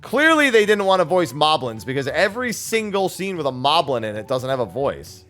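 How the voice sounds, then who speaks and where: A man's voice: on the high side, very animated, brisk, a bit bright. Male streamer, casual live game commentary, light background music.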